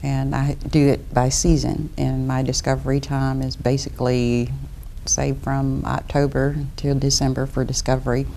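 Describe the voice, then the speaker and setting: A woman's voice: a bass voice, flat delivery, moderate pace, creaky, warm tone, a little hiss or hum, rough recording. A middle-aged woman from Florida, a property appraiser explaining her work in a studio interview.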